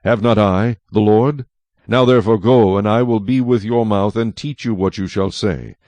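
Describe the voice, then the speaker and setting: An actor playing a solemn, commanding God in a dramatized scripture reading, with measured, authoritative delivery. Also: male voice, fairly low pitch, a little mellow, no room sound, close to an everyday voice. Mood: stern. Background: faint music.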